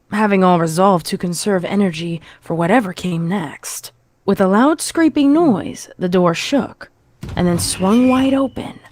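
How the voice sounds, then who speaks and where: A woman's voice: on the low side, darkish tone, no echo. A woman giving a dramatic, scripted narration of a horror story.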